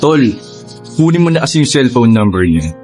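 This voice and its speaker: Actor as friendly young Filipino college lad, boyish and teasing, swooping delivery, soft music behind. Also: male voice, mid-range voice, sing-song, normal speed, close to an everyday voice. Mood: teasing, urging. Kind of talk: Filipino radio drama acting.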